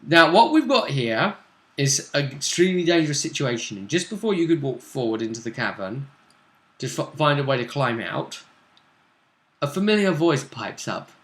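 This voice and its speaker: A male game master with a bright, very animated voice. He improvises narration and talks through a tabletop fantasy game. The room adds noticeable echo. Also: medium pitch, moderate pace.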